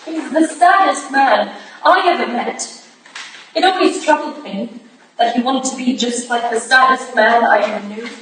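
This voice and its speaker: A woman's voice: a little high, unhurried, fairly bright tone. A woman playing a hurt, indignant Victorian wife, speaking with pointed reproach in a staged reading of a period drama. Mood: reproachful. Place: staged reading in a room, recorded from afar. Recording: distant echoey room, faint noise.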